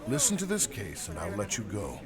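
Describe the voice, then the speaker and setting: An actor playing a stern, patient king and father in an audio drama, commanding and measured. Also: fairly low pitch, wildly swooping pitch, crowd noise.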